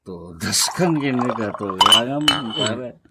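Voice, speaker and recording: A man's voice: fairly low pitch, lively intonation, slow, heavy background noise. A man playing a teasing, amused buddy who drawls and hums, in an Ilocano radio comedy drama. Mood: sly, knowing.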